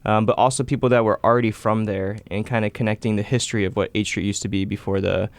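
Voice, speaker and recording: A man from Taiwan, a chef and restaurant owner, talking about his restaurants in a relaxed, plain-spoken way as a guest on a radio show. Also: on the low side, quick pace, no echo. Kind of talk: casual interview.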